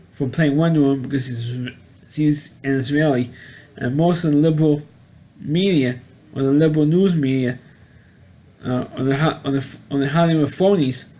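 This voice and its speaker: A man giving a casual, unscripted video review as host, rambling and halting, often repeating words and phrases. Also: a little high, darkish tone.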